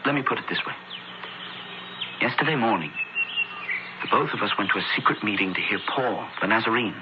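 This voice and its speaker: A man's voice: on the low side, expressive, a little fast, lightly acted. Man as earnest devout youth, noisy radio. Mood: confiding, fervent. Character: earnest, devout young man of ancient Pompeii seeking conversion. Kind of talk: radio drama acting. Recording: dull noisy old radio broadcast.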